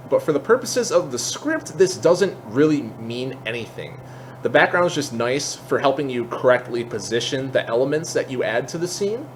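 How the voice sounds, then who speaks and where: A man with a mid-pitched voice walks through a software tutorial in an informal, explanatory way. He drops in conversational asides as he goes. There is noticeable ambient noise behind him. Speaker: tutorial host.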